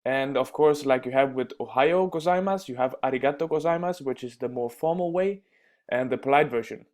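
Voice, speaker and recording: A man teaching everyday phrases in a casual spoken lesson, pausing often with fillers and restarts. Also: medium pitch, darkish tone. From Denmark. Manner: halting, many fillers and restarts. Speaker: language teacher.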